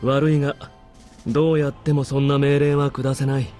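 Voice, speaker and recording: An actor playing a blunt, unsentimental army major in a visual novel, wryly dismissive. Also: male voice, medium pitch, little pitch movement, fast talker, a little mellow, close to an everyday voice. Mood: cool, firm. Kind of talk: Japanese visual novel voice acting. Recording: game music under the voice.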